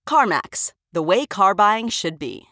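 A woman's voice: mid-range voice, sing-song, takes it slowly. A woman reading a scripted car commercial as an advertising voice-over.

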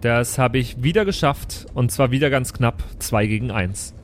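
A man's voice: mid-range voice, normal speed, noisy background. A man chatting casually as a player in a tabletop role-playing game, describing his moves.

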